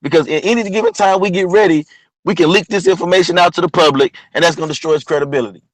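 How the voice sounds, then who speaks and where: A man with a partly lost, hoarse voice, talking casually about history as host of an online show, often asking "you know what I'm saying?". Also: high-pitched, rapid-fire, no echo, rough recording. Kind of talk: casual history talk monologue.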